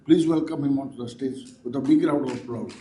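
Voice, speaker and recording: A man's voice: mid-range voice, a little fast, mellow, a little hiss or hum. A man acting as master of ceremonies, introducing a chief guest in an emphatic, ceremonious way. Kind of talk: formal stage announcement.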